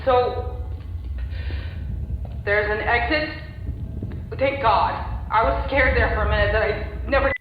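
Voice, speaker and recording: A woman's voice: on the high side, slow pace, pauses often. Terrified trapped woman in cavernous echo. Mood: shaky relief. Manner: panicky, pleading, swearing. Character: terrified female test subject trapped in moving columns. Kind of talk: audio drama acting. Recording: very echoey, muffled, some background noise.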